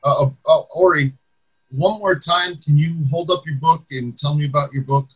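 A man in his forties with a bright, forceful and raspy voice hosts a casual interview. He is on a video call from a room at home. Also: a little high, normal speed, lo-fi sound. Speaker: interviewer and host.

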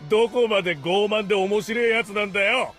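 An actor playing a cocky, battle-hungry demon man in an anime game, with rough, taunting, drawling speech. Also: high-pitched, sharp tone, exaggerated voice. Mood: amused. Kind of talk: Japanese anime game voice acting. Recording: music behind the voice.

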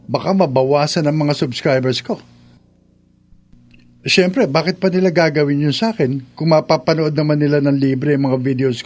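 A man's voice: on the high side, a little mellow. An actor with a Filipino accent playing an earnest young man, a devoted lover and online content creator, in a radio drama. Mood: worried, annoyed.

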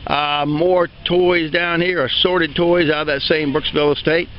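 A man's voice: a little high, little pitch movement, a little slow, lo-fi sound, a little hiss or hum. A man walking an outdoor auction preview, listing items in a rambling, folksy way with many fillers. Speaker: auctioneer giving a preview walkthrough. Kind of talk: unscripted walk-and-talk tour. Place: outdoors at an open-air sale yard.

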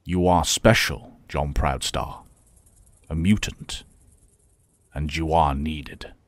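A man playing a solemn, persuasive middle-aged professor and mentor in an audio drama, speaking in measured, formal phrases. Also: very deep voice, no echo.

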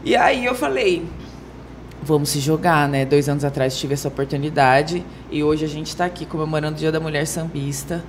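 A woman's voice: sits low, normal speed, slight background noise. A young Brazilian woman casually introducing herself as a podcast guest. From Brazil, Rio de Janeiro and Mato Grosso do Sul. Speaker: guest, samba singer. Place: small wood-panelled TV studio, indoors. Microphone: handheld microphone.

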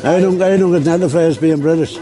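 A man's voice: a little high, fast talker, noisy background. A man with a Northern Irish accent, seated on a city street and talking plainly in an interview, often repeating himself. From Belfast, Northern Ireland. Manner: plain-spoken, repeats words. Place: busy city street outdoors.

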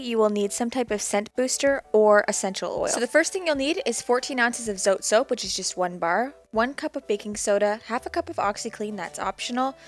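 Young woman, instructional voice-over, practical and steady, faint music behind. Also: fairly high pitch. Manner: plain, practical, walks through each step. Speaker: DIY homesteading vlogger.

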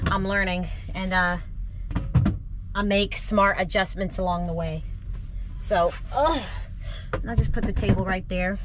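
Middle-aged woman, vlogger, chatty and easygoing, cramped van interior, faint background hum. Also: mid-range voice. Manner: relaxed, upbeat, chatty with many fillers. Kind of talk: casual vlog talk to camera. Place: inside a small camper van at night. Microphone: camera close up in the van.

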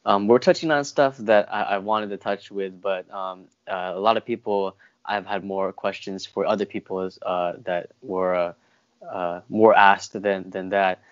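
A young man with a lowish voice hosts a casual interview. He words his questions haltingly and without a script. The audio is a little dull, as over a home video call. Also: fairly even intonation, smooth. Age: young adult. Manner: halting, hesitant when framing questions. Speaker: interview host. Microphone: wired earphones on a video call.

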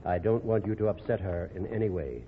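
An actor playing a grave, protective ship's doctor, measured and authoritative, in old radio drama style. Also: male voice, deep voice, close to an everyday voice. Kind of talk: 1940s radio drama acting. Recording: noisy, reverberant, tinny old radio recording.

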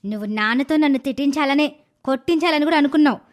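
A woman voicing a spiteful, scheming young village girl in an animated moral story, with a whiny, dramatic delivery. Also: high voice, fast, natural voice. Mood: angry, accusing.

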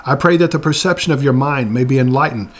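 A man's voice: mid-range voice, fast talker. A man in his forties giving an earnest, exhorting Bible teaching to camera in a room lined with bookshelves, often restarting words.

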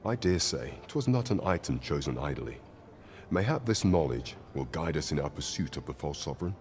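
Very deep, grave knight captain. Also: male voice, big pitch swings, a little mellow, heavily acted. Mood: grave, deliberate. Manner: formal, measured, courtly. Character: grave, loyal knight captain advising his sovereign. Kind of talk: scripted fantasy game acting in archaic English.

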